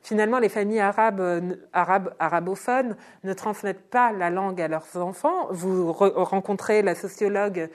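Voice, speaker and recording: A woman's voice: mid-range voice, moderate pace, hardly any pauses. A woman interviewing an author, summing up his points with frequent hesitations. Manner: summarizing, hesitant fillers. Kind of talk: radio interview.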